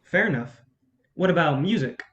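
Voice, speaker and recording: A man's voice: on the high side, expressive, moderate pace, fairly bright tone, lo-fi sound. A man playing a cynical but smitten, inquisitive young man in a naturalistic staged play reading. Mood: probing, playful.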